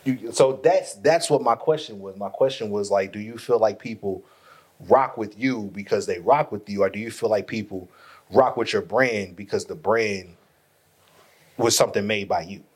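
A man's voice: lowish voice, moderate pace, breathy edge. A man co-hosting a casual podcast chat, who says he stutters and often restarts his words. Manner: laughing, restarting and repeating himself. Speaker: podcast co-host.

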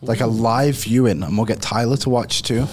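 A man, co-hosting a casual sports podcast, jokey and quick to laugh. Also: mid-range voice, a little hiss or hum. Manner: lively, laughs a lot, jokey. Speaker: podcast co-host. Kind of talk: casual sports betting chat.

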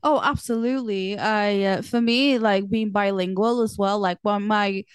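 A woman talks in an easy, rambling way, filling her pauses with ums and likes. Her voice is smooth and clear at an even middle pitch. The sound is close and dry, with no room around it. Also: dry recording. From Portugal. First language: Portuguese. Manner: chatty, meandering, lots of fillers. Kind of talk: casual chat.

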